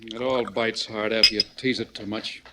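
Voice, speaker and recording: An actor playing a wary, complaining middle-aged drug buyer in slangy 1940s radio crime style. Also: male voice, lowish voice, steady tone, normal speed, very noisy, natural voice. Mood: grudging. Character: grumbling, nervy middle-aged male American drug buyer, Sonny.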